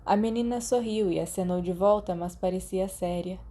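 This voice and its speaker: A woman's voice: on the low side, normal speed, mellow. A woman with a Brazilian accent reading scripted fiction as an audiobook narrator.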